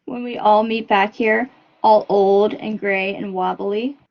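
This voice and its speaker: A woman's voice: mid-range voice, fairly even intonation, slow pace, gravelly. A young woman voicing a breezy, teasing schoolgirl in a story reading. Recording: dull lo-fi recording.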